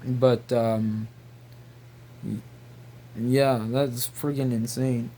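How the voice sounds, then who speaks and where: A man rambling casually in a halting, repetitive, incredulous way. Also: medium pitch, flat delivery, dark tone, slight background noise. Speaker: video creator.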